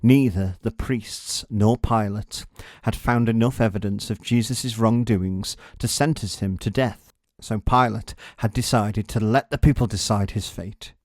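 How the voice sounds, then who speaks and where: A man reading a scripted children's story as a narrator, with dramatic, measured delivery. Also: on the low side, a little mellow, no echo, studio quality.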